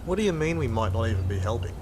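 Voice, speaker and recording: An actor with an Australian accent playing a weary, wry, sweary company worker in an audio drama, with a dry, laconic delivery. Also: male voice, medium pitch, lively intonation, rapid-fire, noisy background, close to an everyday voice. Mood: exasperated, pleading. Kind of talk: first-person audio drama narration and dialogue.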